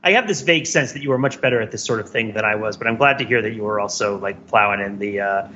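A man's voice: lowish voice, quick pace, bright, forceful tone, highs cut off, a little hiss or hum. A man talking casually as a podcast co-host in a rambling, self-deprecating, wry way. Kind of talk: casual conversational podcast chat.

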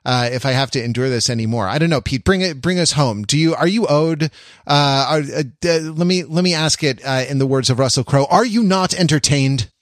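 A man with a slightly high voice hosts a casual talk about television. He speaks haltingly and playfully, repeating phrases as he finds his point. The sound is close and dry. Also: no echo. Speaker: podcast host. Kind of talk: casual TV show discussion.

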